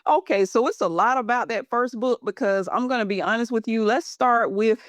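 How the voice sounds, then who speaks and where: Earnest Southern woman guest, smooth voice. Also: fairly high pitch, fairly quick, no room sound, clean studio sound. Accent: Southern American. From Southern US, born in Alabama, raised in Georgia. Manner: warm, earnest, rambling storytelling. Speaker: guest author and poet. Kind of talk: casual interview talk.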